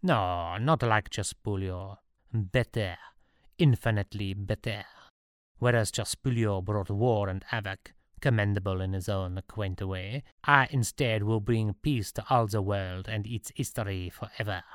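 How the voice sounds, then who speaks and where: A man's voice: lowish voice, measured pace, no room sound, clean studio sound, close to an everyday voice. A man voicing a grandiose, power-hungry emperor villain in a story, pompous and self-satisfied. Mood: grand, gloating.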